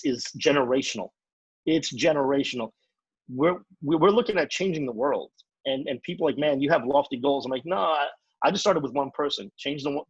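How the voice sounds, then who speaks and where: A man speaking at a rapid clip in a slightly husky, fairly high voice, giving a motivational training talk. He talks with energy and drives points home by repeating them. The sound is dry and a little dull, like a lo-fi call recording. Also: no echo. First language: English. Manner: energetic, repetitive, preachy. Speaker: network marketing trainer.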